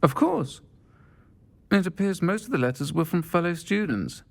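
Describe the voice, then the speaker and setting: Middle-aged witch publican for a game: British, gently fond and wistful, gravelly deep-leaning tone. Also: expressive, normal speed. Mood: fond, pleased. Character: warm middle-aged witch pub landlady, wistful, kindly. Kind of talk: video game cutscene dialogue.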